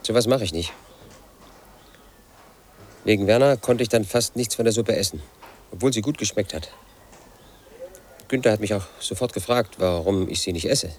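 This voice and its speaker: A brooding, resentful house painter in his late thirties, played by a man in a radio drama. His voice sits very low as he broods over old grudges in a bitter monologue. A faint hiss runs under the recording. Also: normal speed, long pauses, a little mellow, natural voice. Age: late 30s. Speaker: protagonist narrating his life.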